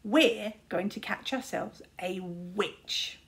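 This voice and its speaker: A woman's voice: medium pitch, slow. A woman voicing a boastful, stubborn young boy wizard in a storybook, emphatic and proud. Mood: boastful, excited.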